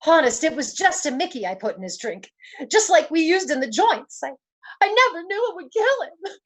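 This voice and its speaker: A woman's voice: very high voice, very animated, moderate pace. A woman playing a frightened young gangster's moll on the run, slangy and pleading, in an old-time radio detective play. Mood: scared, confessing.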